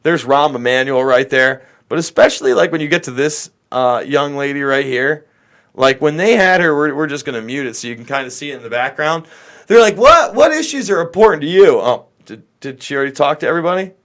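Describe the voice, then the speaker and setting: A man's voice: fairly high pitch, expressive, brisk, fairly bright tone. An American man doing off-the-cuff livestream political commentary, talking to viewers in an opinionated, exasperated, emphatic manner.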